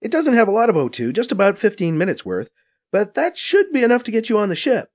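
A man puts on a cocky, foul-mouthed young female agent in an audiobook, pitched up high with wild swings in tone. She teases, swears and fires off explanations in a quick, sharp-tongued way. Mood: practical. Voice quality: raised, lively, cocky voice. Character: brash, profane, teasing female undercover agent. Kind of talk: audiobook character voice.

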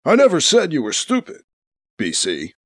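A man's voice: a little high, wildly swooping pitch, moderate pace, dry recording. A man voicing a dejected, distracted male character in a story, hesitant and trailing off. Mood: weary.

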